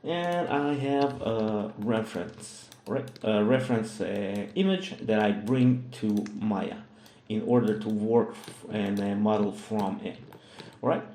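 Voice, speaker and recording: A man with a Russian accent, hosting a software tutorial in a hesitant way, with many fillers and frequent "all right?" checks. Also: lowish voice, slow, a little hiss or hum. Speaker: tutorial host. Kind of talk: screen-recorded software tutorial. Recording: echoey room.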